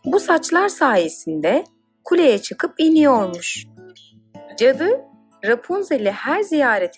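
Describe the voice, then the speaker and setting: Slow, lively woman storyteller, fairly high. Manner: expressive, dramatic storytelling. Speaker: storyteller, narrator. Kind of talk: scripted children's fairy tale narration.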